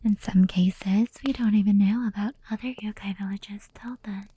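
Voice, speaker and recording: A woman playing a gentle fox-demon yokai in an ASMR roleplay, telling stories slowly and intimately in a soft, breathy whisper. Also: mid-range voice, steady tone, lightly acted. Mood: hushed storytelling. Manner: slow, intimate, unhurried.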